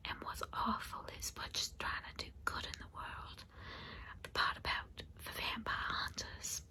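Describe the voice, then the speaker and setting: A woman's voice: fairly high pitch, sharp tone. Woman playing a whispering, jaded, chatty vampire saleswoman. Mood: aggrieved, confiding. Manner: confiding, folksy, rambling, addressing the listener as darling and hon. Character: jaded, chatty 127-year-old female vampire saleswoman. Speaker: ASMR performer. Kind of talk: soft-spoken whispered ASMR roleplay.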